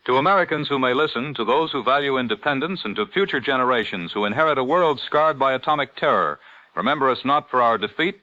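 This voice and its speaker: A man playing a solemn, defiant American wartime radio announcer, speaking gravely and formally like a 1940s broadcast. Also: mid-range voice, sharp tone, no room sound, dull audio, natural voice. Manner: grave, oratorical, slow formal phrasing.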